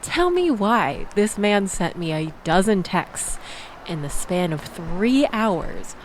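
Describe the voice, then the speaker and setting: A woman's voice: medium pitch, big pitch swings, slow, a little hiss or hum, rough recording. A woman playing a chatty, exasperated young woman in a casual audio roleplay, rambling and self-deprecating as she tells her story. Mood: incredulous, animated. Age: young adult.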